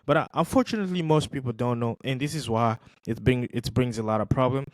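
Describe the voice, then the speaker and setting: Animated man, dry close sound. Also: medium pitch, fast, dry recording. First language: not English. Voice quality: animated, emphatic, repeats himself for stress. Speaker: podcast host reacting to clips. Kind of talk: casual commentary.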